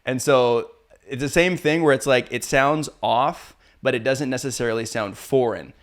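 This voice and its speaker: A man from Canada hosting a casual interview, relaxed and chatty. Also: medium pitch, normal speed. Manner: relaxed, chatty, laughs often. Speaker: podcast host.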